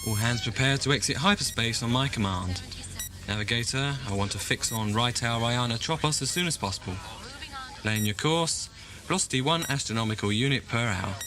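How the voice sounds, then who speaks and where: A man playing a dutiful, chatty starship officer in a science fiction audio drama, reporting crisply and formally. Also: mid-range voice, brisk, close to an everyday voice. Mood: brisk, dutiful. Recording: music-backed amateur 1980s audio drama. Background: music.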